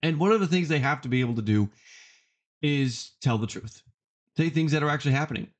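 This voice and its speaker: A man's voice: fairly high pitch, lively intonation. A man hosting a sports podcast, giving opinionated commentary in an emphatic way and repeating phrases for effect. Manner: emphatic, deliberate repetition for effect.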